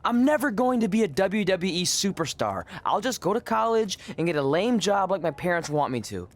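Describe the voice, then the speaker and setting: A young male wrestling hopeful in a video game story. His pitch swings widely as he talks. He comes across as awkward, sarcastic and quick to put himself down. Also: very animated. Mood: exasperated. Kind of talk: scripted game cutscene acting.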